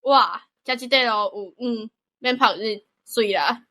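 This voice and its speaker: A woman's voice: fairly high pitch, a little slow, sharp tone. A woman acting a kind, cheerful young country lad in a radio drama, with a Taiwanese accent and an eager, caring manner. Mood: cheerful wonder.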